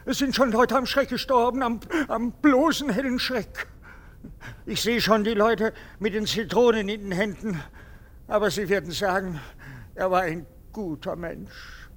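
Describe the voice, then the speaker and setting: An actor playing a pompous, sentimental and anxious army captain in a theatrical German radio drama. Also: male voice, high-pitched, fairly even intonation, measured pace, a bit bright. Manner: theatrical, swinging from exclamation to insinuating murmur.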